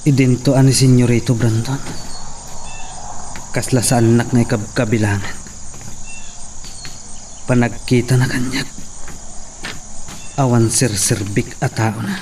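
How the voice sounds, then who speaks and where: A bitter, brooding ranch hand in a home audio drama, as a man plays him, speaking in a flat, even tone at a middling pitch. He mutters his grudges in a monotone. The voice sounds far from the mic, with steady hiss and crickets. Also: measured pace, long pauses, lightly acted.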